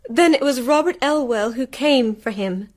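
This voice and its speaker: An actress in a radio drama playing an anxious, stricken wife who speaks in a hushed, intense way. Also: female voice, sits high, animated, normal speed. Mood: hushed dread. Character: anxious, stricken wife uncovering a ghostly truth.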